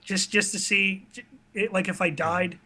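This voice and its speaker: A man with a high-pitched, bright voice speaks as an interview guest. His delivery is casual and conversational, recorded in a bedroom. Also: steady tone, moderate pace. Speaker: interview guest, online video creator. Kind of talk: casual chat.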